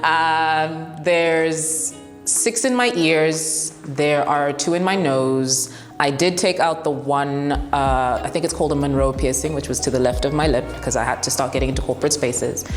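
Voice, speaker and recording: Very low-voiced blunt woman, echoey room. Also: normal speed. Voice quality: commanding voice. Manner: blunt, laughs often. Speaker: broadcast journalist and TV presenter. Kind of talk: interview answers, relaxed and frank. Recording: echoey room with long reverb, faint music.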